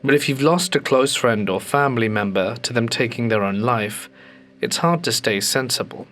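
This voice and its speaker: A man hosting a ghost story channel, reading submitted stories aloud in a calm, measured way with slowed dramatic pauses. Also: medium pitch.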